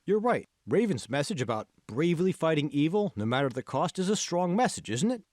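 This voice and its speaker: A man plays a confident young male college buddy in an audiobook. His pitch climbs and swoops as he coaches, emphatic and insistent. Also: big pitch swings, a little fast, darkish tone, no room sound, clean studio sound. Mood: insistent encouragement. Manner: emphatic, prodding, persuasive. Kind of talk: audiobook character dialogue.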